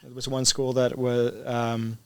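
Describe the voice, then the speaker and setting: A man's voice: mid-range voice, monotone, unhurried, clean tone, no room sound. A middle-aged man from Spain with a mixed English, American and international accent, chatting as an interviewed teacher and often tagging on "right?". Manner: conversational, often checks with "right?". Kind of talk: casual interview chat.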